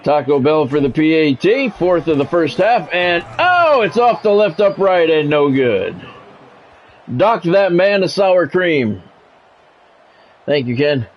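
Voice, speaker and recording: Higher-pitched man calling football play-by-play. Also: sing-song, slow, highs cut off. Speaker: play-by-play announcer. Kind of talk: sports play-by-play commentary over a football video game.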